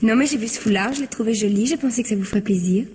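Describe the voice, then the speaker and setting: An actress playing a wounded but proud and defiant young wife in a French radio mystery, earnest and indignant. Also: female voice, a little high, very fast, a little mellow, slight background noise, lightly acted. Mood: gentle.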